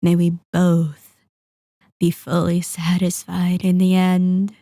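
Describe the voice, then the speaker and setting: A possessive female vampire lord, acted by a woman, speaks in a soft, mellow tone. She draws out her words slowly with mock courtesy and teasing menace. The ASMR roleplay sounds very close and dry. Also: on the low side, completely flat delivery, dry recording. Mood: silky menace. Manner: silky, teasing, mock-polite, drawn-out menacing delivery. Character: sadistic, possessive female vampire lord toying with captive hunter.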